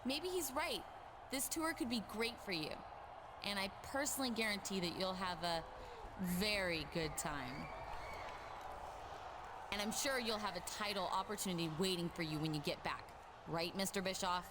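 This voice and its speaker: A woman plays a flirtatious game wrestling diva. Her medium-pitched voice soothes and coaxes suggestively, with clear crowd and noise behind. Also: normal speed, lightly acted. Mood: coaxing, flirty. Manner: coaxing, suggestive. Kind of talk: scripted video game cutscene acting. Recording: noisy, chanting crowd behind.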